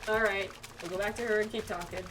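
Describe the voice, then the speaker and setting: A woman's voice: mid-range voice, fairly even intonation, quick pace, recorded from a distance, background noise. A woman giving casual, chatty commentary while playing a video game and reacting aloud to it. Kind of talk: casual playthrough commentary, reading dialogue aloud.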